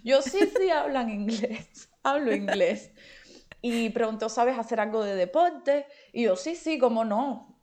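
Cuban lady, voice a bit high, chatty interview guest telling her own story. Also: unhurried, darkish tone. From Cuba. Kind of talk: casual personal storytelling.

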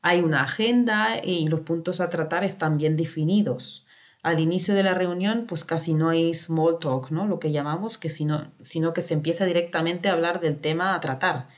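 A woman's voice: deep voice, normal speed. A woman speaking as a guest in a casual interview, explaining at length with fillers and tag questions.

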